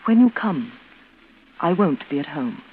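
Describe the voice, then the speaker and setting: An actress playing a cold, bitter, reclusive twin sister with a clipped, severe manner in an old-time radio suspense drama. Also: female voice, low-pitched, very animated, measured pace. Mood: curt, dismissive. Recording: noisy, tinny vintage radio broadcast.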